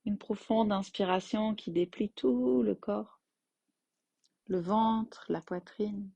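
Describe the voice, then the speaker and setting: A woman guiding a yoga nidra relaxation as its teacher, in gentle, unhurried phrases with long pauses. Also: medium pitch, vocal fry, husky, no echo, lo-fi sound. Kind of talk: guided meditation, slow soothing instruction.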